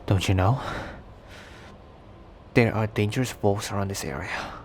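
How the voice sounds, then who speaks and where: A man playing a cocky, protective young werewolf stranger in an intimate ASMR roleplay, talking in a teasing, halting conversational way. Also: lowish voice, a little hiss or hum, natural voice. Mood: cautioning, earnest. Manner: teasing, breathy sighs and chuckles, halting conversational delivery. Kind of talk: ASMR roleplay acting, intimate one-sided dialogue.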